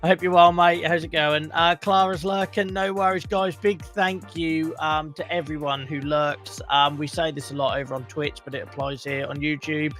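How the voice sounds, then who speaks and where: Croaky man hosting a livestream. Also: a little high, steady tone, moderate pace, few pauses, faint music behind. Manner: chatty, greets viewers warmly by name. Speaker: livestream talk show host. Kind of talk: casual livestream chat.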